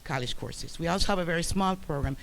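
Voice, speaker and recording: A man's voice: on the high side, quick pace, gravelly, fairly bright tone, a little hiss or hum, rough recording. Man presenting at a school board meeting. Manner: many fillers and restarts. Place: public meeting room. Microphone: room microphone, quiet for listeners.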